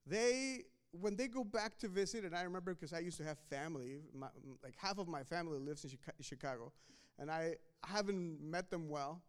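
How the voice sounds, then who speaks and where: A man's voice: a little high, a little fast, smooth. A man from Mexico, preaching a sermon in a conversational way, often restarting and repeating words. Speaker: pastor.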